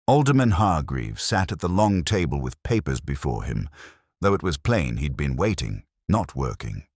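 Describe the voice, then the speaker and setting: A man narrates a mystery story in a very low, husky voice. His pitch moves in lively swings as he reads, and the sound is dry and close. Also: no echo.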